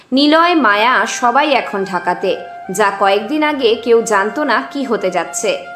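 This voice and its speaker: Echoey, higher-pitched female story narrator. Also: fairly bright tone. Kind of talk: scripted dramatic story narration. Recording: roomy echo with music underneath.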